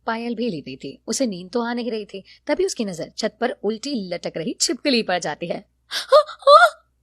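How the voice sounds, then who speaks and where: A woman's voice: on the high side, lively intonation, normal speed, dry recording. A woman narrating a scripted cartoon story.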